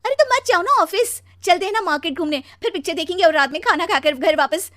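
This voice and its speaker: Nagging young wife for a cartoon female performer: high, very animated, demanding, close dry sound. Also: normal speed, dry recording. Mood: insistent. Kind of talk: animated cartoon story dialogue.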